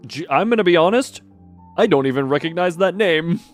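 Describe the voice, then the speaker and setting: A man streaming a game, giving casual, rambling live commentary with animated reactions. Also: fairly high pitch, fairly quick, soft background music.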